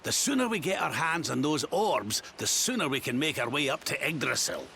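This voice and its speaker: A man's voice: a little high, expressive, a little fast, creaky, a little hiss or hum, exaggerated voice. An actor playing a genial, crafty old Scottish king travelling as a chatty companion in a video game. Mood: brisk, cheerful. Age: older. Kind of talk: video game cutscene acting. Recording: loud game music behind.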